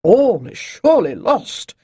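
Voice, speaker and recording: A narrator acts out an elderly male priest from a fantasy tale. He teaches calmly and chides gently in a husky voice, pausing for weight and moving expressively up and down in pitch. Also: no room sound, heavily acted. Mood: chiding, mocking. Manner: measured, declamatory, pausing for weight. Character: wise old male priest, calm gently chiding teacher.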